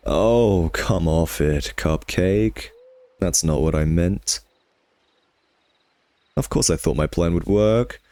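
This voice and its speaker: A man's voice: sits low, exaggerated voice. A man playing a cocky, sardonic vampire nemesis who teases and taunts the listener in an intimate ASMR roleplay. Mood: exhilarated, teasing.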